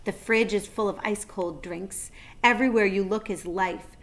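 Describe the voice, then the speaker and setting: A woman narrating a children's story with deliberate, expressive phrasing. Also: medium pitch. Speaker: read-aloud narrator.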